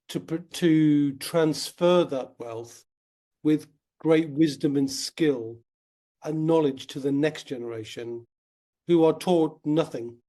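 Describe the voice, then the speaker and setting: A man being interviewed as a guest, explaining in an earnest, steady way, his voice slightly croaky from a cold. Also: a little high. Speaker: guest, wealth company founder. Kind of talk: conversational interview.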